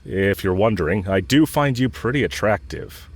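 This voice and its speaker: A man performs a smitten villain with a low voice, speaking straight to the listener as in an intimate audio drama. He is cocky and casual, teasing as he goes, and blunt about his affection. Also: expressive, normal speed. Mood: fond, flirtatious. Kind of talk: romantic audio roleplay, spoken intimately to the listener.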